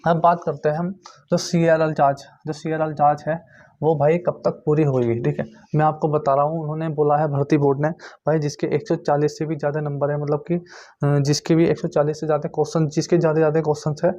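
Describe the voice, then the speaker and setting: Male, dark mellow tone, monotone delivery, informal online update, insistent and repetitive. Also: a little high. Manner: repetitive, insistent explaining with frequent check-in tags. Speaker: YouTube host giving exam recruitment updates. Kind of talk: informal explanatory update to viewers. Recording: muffled lo-fi recording.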